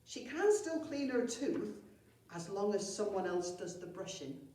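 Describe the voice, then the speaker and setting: A woman's voice: medium pitch, very animated, measured pace, dark tone. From across a very echoey room comes a dim storybook goblin sidekick performed by a woman. The character is blunt and cheerfully tactless, blurting things out in an eager bid to help. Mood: tactless, helpful. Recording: very echoey room, far from mic.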